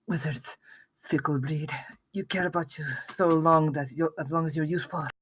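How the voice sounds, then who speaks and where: A woman playing a dying female warrior monk at a script table read, bitter and weary, with a husky, breathy voice. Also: low voice, steady tone, a little slow, dry recording. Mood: scornful. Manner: weary, scornful, broken by coughs and gasps.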